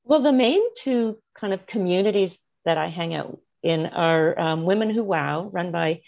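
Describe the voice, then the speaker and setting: A woman's voice: lowish voice, moderate pace, gravelly, a little mellow, dull audio. A middle-aged woman, a guest talking in a friendly, rambling way and laughing often over a remote call. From Canada. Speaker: guest, marketing strategist. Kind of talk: casual interview chat. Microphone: remote video call.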